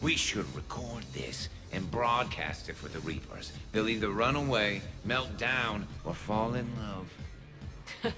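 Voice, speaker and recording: Deep-voiced wry alien soldier. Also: male voice, expressive, takes it slowly, music in the background. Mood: amused. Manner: dry, wry. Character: male Turian alien soldier, wry, dry-witted. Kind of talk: video game voice acting.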